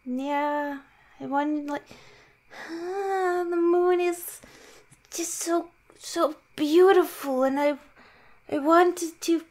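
High-pitched, hesitant werewolf girlfriend, acted by a woman. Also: darkish tone, exaggerated voice. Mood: self-deprecating. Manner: halting, hesitant, self-mocking. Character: shy, insecure young female werewolf girlfriend, sweet and awkward. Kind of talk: improvised ASMR roleplay.

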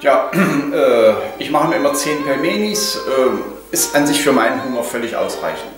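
A man's voice: medium pitch, lively intonation, normal speed. An older man chatting casually through a home cooking vlog, full of hesitations, on a lapel mic in his kitchen. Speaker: vlogger. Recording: echoey kitchen, mic at a distance, music behind.

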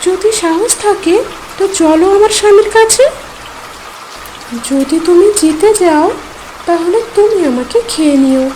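A woman's voice: very high voice, a little slow, pauses often, mellow, a little hiss or hum, rough recording. A woman voicing a small, clever and brave mother tailorbird in a cartoon. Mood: daring.

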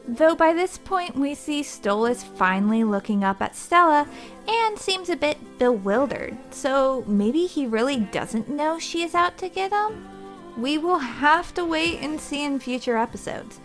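Female video narrator, high register, unhurried scripted read, faint music bed. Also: animated. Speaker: video narrator presenting episode facts. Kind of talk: scripted narration with flubbed outtakes.

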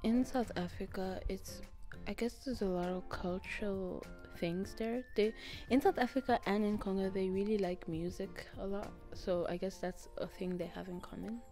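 Teen girl, mid pitch, thoughtful answers, faint music. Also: steady tone, moderate pace, hardly any pauses, dark tone. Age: teens. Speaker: student. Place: school library indoors.

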